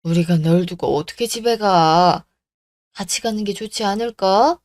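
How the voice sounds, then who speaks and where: A woman voicing a soft-hearted, hesitant middle-aged mother in a radio drama story. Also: mid-range voice, moderate pace, no room sound, clean studio sound, natural voice. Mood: concerned.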